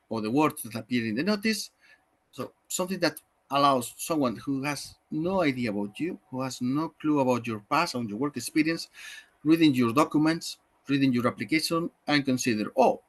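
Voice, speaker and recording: A man from Spain with a Spanish accent, explaining things in a webinar over a video call, talking loosely with many fillers and self-corrections. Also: mid-range voice. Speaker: webinar trainer.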